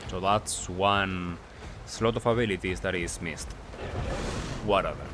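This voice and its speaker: A man giving casual gameplay commentary as a recap, talking in short clipped phrases with pauses. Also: low voice, noisy background. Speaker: gaming video creator.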